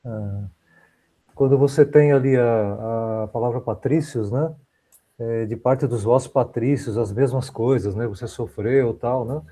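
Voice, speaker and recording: A man's voice: mid-range voice, a little slow, dark tone. A man in a study group on a video call, explaining a word while thinking aloud with many restarts.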